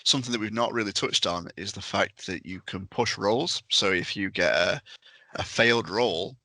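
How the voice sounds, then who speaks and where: A man's voice: on the low side, normal speed, pushed and bright, dry recording. A man on a podcast panel chatting enthusiastically in a casual review discussion.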